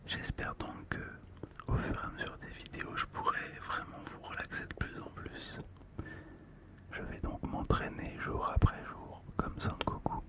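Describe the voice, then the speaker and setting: Casting: ASMR host, breathy whisper throughout, unhurried and soothing, close-mic narration. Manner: slow, gentle, soothing delivery. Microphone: close ASMR microphone, monitored on headphones.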